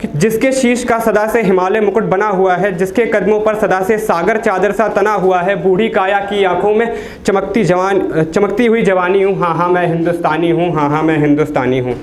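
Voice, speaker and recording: A teenage man from India reciting poems at an open mic, declaiming each line and dropping low on a repeated refrain. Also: fairly even intonation, moderate pace. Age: teens. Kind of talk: reciting Hindi poetry. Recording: echoey room with faint noise.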